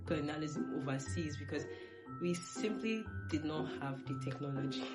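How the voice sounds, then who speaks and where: Low-pitched Nigerian woman chatting over music. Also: normal speed. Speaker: panelist on a history discussion series. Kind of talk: casual conversational explaining. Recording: music playing behind the voice.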